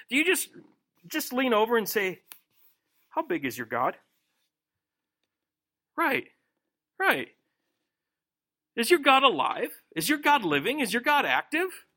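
A middle-aged man preaching a sermon, reading scripture and then commenting in an animated way, often acting out the voices he quotes. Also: extremely high pitch. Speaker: pastor. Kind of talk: expository sermon.

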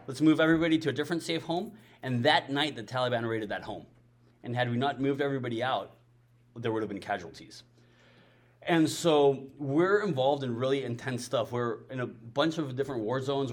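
Mexican man, Spanish native, mid-pitched, earnest pulpit delivery with repeats for weight. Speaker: missions ministry speaker. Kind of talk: sermon-style ministry talk.

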